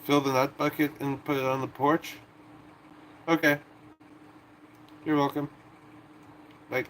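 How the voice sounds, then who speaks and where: A man's voice: a little high, level tone, measured pace, a bit bright, a little hiss or hum, poor audio. A man in his late twenties, chatting casually on a live stream in a rambling, joking way that often breaks off and restarts. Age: 20s. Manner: rambling, self-interrupting, joking.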